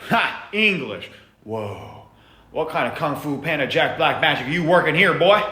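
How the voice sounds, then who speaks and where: A man's voice: on the high side, very animated, moderate pace, roomy sound. A man playing a blustering, ignorant US senator who badgers a witness in a comedy sketch. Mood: triumphant. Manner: badgering, mocking, loud. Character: blustering ignorant male US senator, pushy interrogator.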